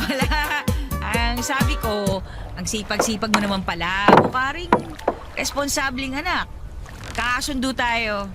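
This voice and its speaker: A woman's voice: on the high side, lively intonation, slow. An actress playing a brash, catty, demanding young Filipina girlfriend in a radio drama, with a sassy, slangy delivery. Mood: falsely sweet. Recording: loud music bed behind voice.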